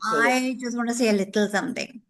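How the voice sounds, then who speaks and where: A woman's voice: a little high, steady tone, a little fast, fairly bright tone, slight background noise. A woman chipping into an informal group discussion.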